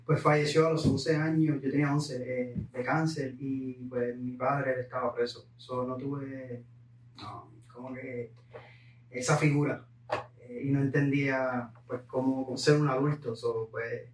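A Puerto Rican man telling his story as a podcast guest, mixing in English words. He sits some distance from the mic in an echoey room. His pitch sits in the middle. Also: flat delivery. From Puerto Rico. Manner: unhurried, peppers speech with English words. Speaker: guest entrepreneur. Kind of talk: conversational storytelling.

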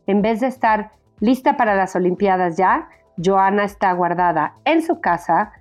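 A Mexican woman in her forties hosting a podcast interview, chatty and expressive, recording remotely. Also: medium pitch, moderate pace, soft background music. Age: 40s. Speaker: podcast host.

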